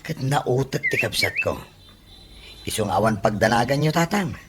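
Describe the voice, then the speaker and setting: A man's voice: medium pitch, very animated, moderate pace, close to an everyday voice. Man acting as a swooping, protective Filipino big brother. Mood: earnest, insistent. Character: protective, generous older brother supporting his sister. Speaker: radio drama actor. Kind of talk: Ilocano radio comedy drama acting. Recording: clear background ambience and noise.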